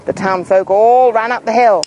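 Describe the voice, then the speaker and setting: A woman reading a rhyming storybook aloud to children in an expressive, theatrical way. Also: a little high, normal speed.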